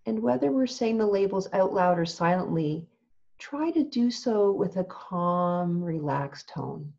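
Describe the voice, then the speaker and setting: Very slow, mellow woman guiding meditation. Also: lowish voice, creaky, highs cut off. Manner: calm, gentle, measured with long pauses. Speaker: meditation teacher. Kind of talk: guided meditation instruction.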